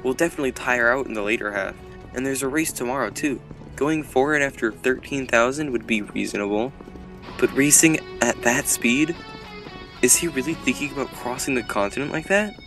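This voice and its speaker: A man's voice: medium pitch, brisk, music in the background, lightly acted. A man playing an intense rival jockey in a dramatic manga fandub, questioning and analysing in an inner monologue. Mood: tense, doubting. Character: intense male rival jockey sizing up an opponent.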